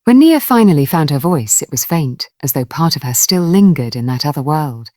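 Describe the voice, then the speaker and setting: A woman storyteller with a low voice narrates a dramatic folktale. Her delivery is expressive, rising and falling widely, and the sound is close and dry. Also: moderate pace, no echo.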